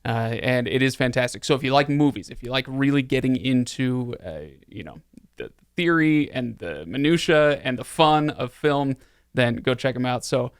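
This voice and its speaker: A man hosts a casual podcast in a medium-pitched voice. He banters in a tongue-in-cheek, joking way, and the sound is close and dry, with no room to it. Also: moderate pace, smooth, no echo. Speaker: podcast host.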